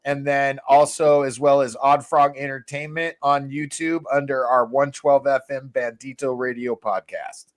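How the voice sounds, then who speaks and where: Higher-pitched man plugging a show. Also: fairly bright tone. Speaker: show guest and station promoter. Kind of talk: casual promotional livestream chat.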